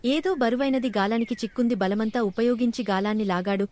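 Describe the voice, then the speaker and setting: Higher-voiced woman narrating children's stories. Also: brisk, darkish tone. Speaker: storyteller.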